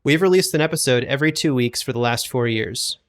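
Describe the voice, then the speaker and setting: A man's voice: mid-range voice, lively intonation, quick pace. A man speaking as a podcast guest about production work, informal, enthusiastic and agreeable, close on a studio condenser microphone. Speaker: podcast guest, audio drama producer and sound designer. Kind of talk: casual interview chat about audio production.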